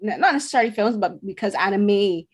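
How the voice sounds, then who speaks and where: Lady film critic on a podcast, earnest, keeps searching for the right word, middle pitch. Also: animated, fast talker. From Barbados. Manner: earnest, talks at length, searching for words. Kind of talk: casual podcast conversation.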